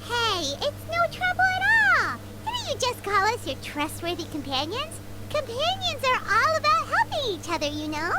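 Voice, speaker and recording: A woman's voice: extremely high pitch, huge pitch swings, moderate pace, heavy background noise, heavily acted. A woman voicing a small, childlike fairy companion in an anime video game, eager, chatty and self-assured. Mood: eager, confident. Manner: exclamatory, self-assured, speaks of self in third person. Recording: game music behind the voice.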